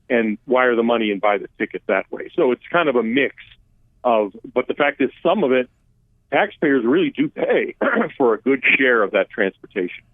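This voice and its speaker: A man speaks over a tinny phone line, dry and close with no room sound. He talks casually but emphatically, restarting sentences and pushing his voice up on key points. His pitch sits in the middle range. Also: no room sound. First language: Armenian. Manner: conversational, emphatic, with restarts and lively rises. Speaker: immigration policy expert guest. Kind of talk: political talk radio interview.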